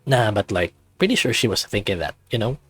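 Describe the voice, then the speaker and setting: A man's voice: on the low side, sing-song, brisk, slightly rough, dry recording, faint music behind. A man voicing a sarcastic teenage boy who teases his best friend in offhand slang.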